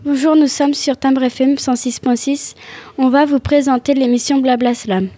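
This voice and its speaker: Teen girl presenter, high mellow voice, husky edge, dry close sound. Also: steady tone, moderate pace, no room sound, faint music behind. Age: teens. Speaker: student radio presenter. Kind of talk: reading a school radio show script.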